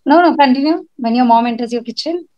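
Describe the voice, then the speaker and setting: Husky woman on a video call. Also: sits high, fast talker. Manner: confident, chatty, says "like" often. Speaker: guest speaker, podcast producer. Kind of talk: casual advice talk. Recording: dull lo-fi video call audio.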